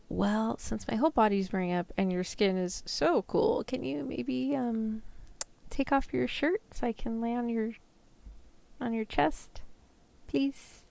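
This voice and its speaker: A woman playing an affectionate, bashful sick girlfriend in an ASMR roleplay, soft-spoken and hesitant. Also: fairly high pitch, creaky. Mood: shy, pleading.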